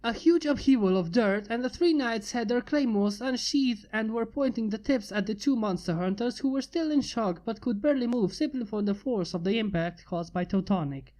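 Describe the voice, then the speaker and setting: A woman reading fan fiction aloud in a steady narration. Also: on the high side, moderate pace, hardly any pauses, poor audio. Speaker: fanfic reader. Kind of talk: amateur fanfic narration.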